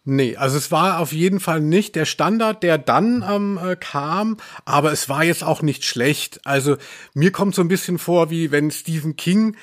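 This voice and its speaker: A man with a somewhat high-pitched voice talks casually as a podcast co-host. He speaks conversationally, going into nerdy detail as he discusses his subject. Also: normal speed. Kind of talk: casual podcast discussion about radio plays.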